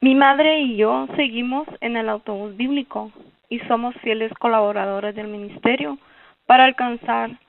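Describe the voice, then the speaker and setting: A thin, phone-like recording carries a Guatemalan woman in her fifties giving a prepared testimony. Her voice is fairly high with a creaky edge. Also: fairly even intonation, normal speed, no room sound. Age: 50s. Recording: narrow phone-like sound, distant mic.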